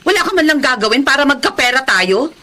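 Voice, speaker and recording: High, swooping Filipino nagging wife. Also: female voice, lively intonation, quick pace, pushed and bright. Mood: angry, threatening. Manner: scolding, emphatic. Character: nagging, money-pressed wife and mother, sharp-tongued and demanding. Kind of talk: Filipino radio drama acting.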